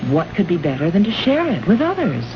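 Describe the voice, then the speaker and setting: A muffled, noisy vintage radio drama recording of an earnest woman diplomat from the future. Her voice swings widely in pitch as she questions, puzzled and emphatic. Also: huge pitch swings, brisk. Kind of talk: old-time radio drama acting.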